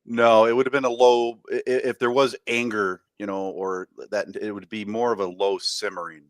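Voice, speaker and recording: A man's voice: fairly low pitch, little pitch movement, moderate pace, dry recording. A man co-hosting a podcast, chatting eagerly with many stammered restarts. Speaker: podcast co-host. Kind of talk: casual chat.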